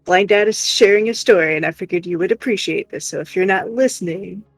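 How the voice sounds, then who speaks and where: A man's voice: high voice, brisk, no echo, soft background music, poor audio. A man co-hosting a livestream in casual, chatty style. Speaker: livestream co-host. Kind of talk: casual chat.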